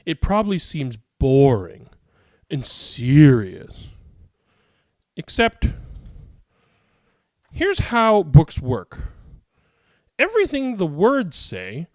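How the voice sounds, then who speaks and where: A man reading a children's book aloud in a playful, expressive way with dramatic pauses. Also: fairly high pitch, no room sound, studio quality. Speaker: read-aloud storybook reader. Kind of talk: theatrical children's picture book read-aloud.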